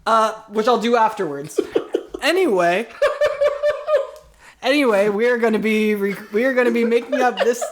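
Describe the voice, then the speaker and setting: A woman's voice: on the high side, normal speed, long pauses, very noisy. A woman hosting a comedy podcast, bantering casually in a playful, mock-stern way.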